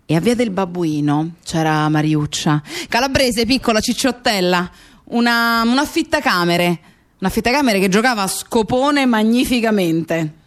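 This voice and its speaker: An actress playing a grieving woman who fondly recalls her close friend in a reflective radio theatre monologue, pausing and repeating herself. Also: medium pitch, animated, lightly acted. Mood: fond reminiscence. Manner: reflective, with pauses and repetitions.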